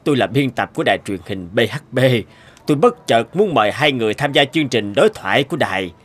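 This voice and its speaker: A man's voice: mid-range voice, animated, fast talker, a bit bright. A man voicing a jovial television editor in a cartoon, polite and salesmanlike. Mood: jovial, inviting. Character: jovial adult male television editor, smooth recruiter.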